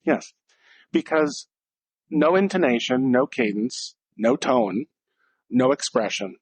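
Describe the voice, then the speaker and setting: A man's voice: medium pitch, lively intonation, slow pace, breathy edge, no room sound. A man co-hosting a casual podcast, talking in a direct, blunt way and acting out short exchanges. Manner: direct and blunt, acting out little dialogues in different voices. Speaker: podcast co-host.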